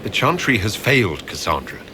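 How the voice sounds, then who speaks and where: An actor playing a stern, blunt fantasy inquisition leader with a commanding manner in a video game. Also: male voice, lowish voice, very animated, fairly quick, background noise.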